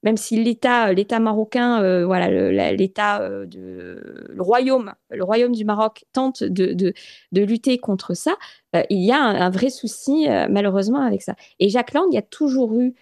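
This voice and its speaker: Earnest, halting woman on dry mic. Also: mid-range voice, animated, vocal fry, a little mellow, no room sound. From France. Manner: earnest, halting, many restarts and fillers. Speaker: interview guest. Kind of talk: radio interview. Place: radio studio.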